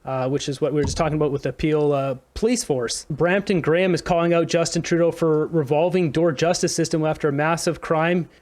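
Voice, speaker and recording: A man's voice: on the high side, steady tone, brisk, few pauses, darkish tone. A man hosting a political commentary show, reading news aloud informally with an indignant edge.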